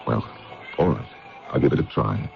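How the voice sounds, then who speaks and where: A man acting in a vintage radio drama as a steady, practical confidant and investigator who reasons matter-of-factly. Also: normal speed, lightly acted. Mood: reassuring, reasoning. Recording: muffled old radio broadcast.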